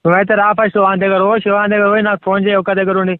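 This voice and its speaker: A man's voice: little pitch movement, rapid-fire, no room sound, lightly acted. A man playing a bullying, threatening police officer in a prank phone call, scolding informally with a Telangana accent. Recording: tinny low-fi phone line.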